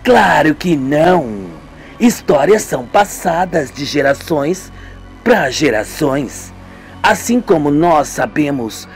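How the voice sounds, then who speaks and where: A man with a Brazilian accent and a husky voice, reading a scripted radio soap and doing all the parts himself. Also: fairly high pitch, darkish tone. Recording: music behind the voice. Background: music.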